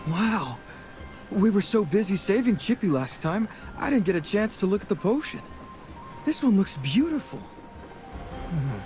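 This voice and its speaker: Breezy, higher-pitched roguish male adventurer. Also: sing-song, normal speed, long pauses, darkish tone, faint music behind. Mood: breezy, friendly. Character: roguish, charming, chatty adult male sea adventurer. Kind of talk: voiced dialogue in a fantasy game.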